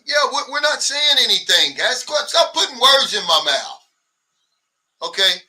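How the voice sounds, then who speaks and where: A blunt, animated man in his sixties chats on a livestream, reading out viewer comments and answering them. His voice is high and very bright, a little off the mic. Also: measured pace, distant mic. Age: 60s. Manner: blunt, animated, mimics others' complaints. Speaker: livestream host.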